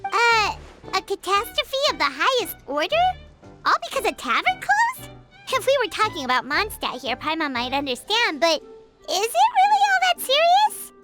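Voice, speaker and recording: A woman voicing a tiny, floating female fairy companion in a game dub, eager, chatty and quick to react. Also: very high-pitched, huge pitch swings, over loud music, exaggerated voice. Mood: incredulous. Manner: quick to react, curious, exclamatory. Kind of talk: video game dub acting.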